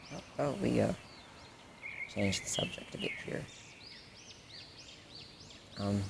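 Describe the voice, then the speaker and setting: Over clear background ambience comes a low-voiced, creaky young guy a man acting it out, playing the supportive best friend in an audio roleplay. He speaks casually and earnestly, rambling and hesitating as he addresses the listener. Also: heavy background noise. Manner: hesitant, rambling, casual with many trailing-off phrases.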